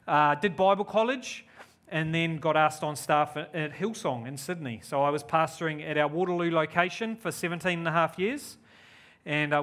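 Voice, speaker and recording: A man's voice: fairly high pitch, bright, forceful tone. Upbeat New Zealand man preaching. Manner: enthusiastic, upbeat and effusive. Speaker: guest preacher. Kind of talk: live church sermon and prayer. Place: church service.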